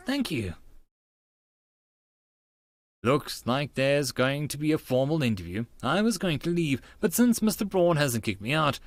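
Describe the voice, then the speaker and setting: A man's voice: mid-range voice, dry recording, clean studio sound. A man reading scripted first-person story narration as an audiobook narrator, in a dramatized style.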